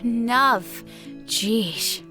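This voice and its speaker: A woman's voice: fairly high pitch, animated, extremely slow, pushed and bright, soft background music, exaggerated voice. An actress voicing a wife who answers her husband in a dry, sardonic way.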